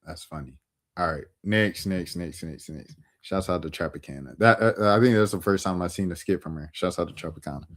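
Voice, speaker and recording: A young man with a very deep voice reacts to clips on a stream. He talks in a laid-back, joking way, full of slang and shout-outs. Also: fairly quick. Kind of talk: casual reaction commentary.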